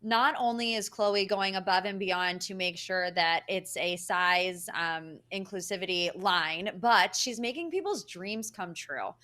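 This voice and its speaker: A woman's voice: medium pitch, measured pace, few pauses, sharp tone. A woman giving an opinionated entertainment recap as a panelist, talking in an emphatic, earnest, gushing way.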